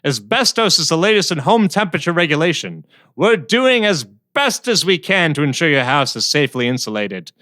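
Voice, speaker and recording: A man playing an upbeat radio advert pitchman with a showy salesman's delivery. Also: very animated, brisk, sharp tone, dry recording, clean studio sound. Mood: slick, upbeat. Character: upbeat male radio pitchman selling home insulation. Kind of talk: comic radio advert parody.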